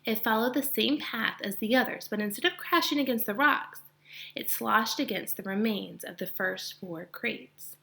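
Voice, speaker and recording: A woman reading a children's novel aloud with expression, pausing to explain narrative point of view to her listeners like a teacher. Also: a little high, vocal fry, bright, forceful tone.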